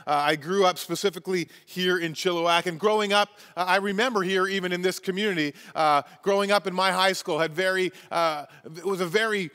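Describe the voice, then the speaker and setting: A man's voice: high voice, steady tone, brisk, few pauses, sharp tone, big-room sound. A Canadian man in his forties, a pastor preaching a sermon from a church stage, earnest and emphatic. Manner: earnest, emphatic, gestures constantly while preaching. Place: church stage with wooden wall, large hall.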